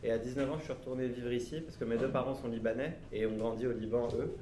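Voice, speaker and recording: A man in his thirties from France speaks at a middle pitch, answering children with gentle, halting phrases and frequent restarts. His voice rings in an echoey room. A faint hiss sits underneath. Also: fairly even intonation. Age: 30s. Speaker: author interviewed by schoolchildren. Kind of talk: casual interview answers.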